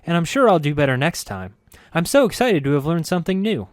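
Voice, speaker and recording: A man's voice: fairly high pitch, very animated, a little fast, no echo, studio quality, pitched above the performer's own voice. A man voicing a perky, sweet-natured young woman who is eager to please and hates being mean. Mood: cheerful. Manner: bubbly, breathless and eager to please.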